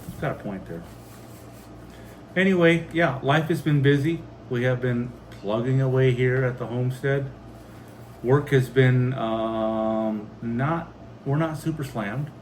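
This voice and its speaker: A man's voice: medium pitch, unhurried. An American man hosting a homestead vlog, chatting casually and reading out viewer comments. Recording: steady background noise.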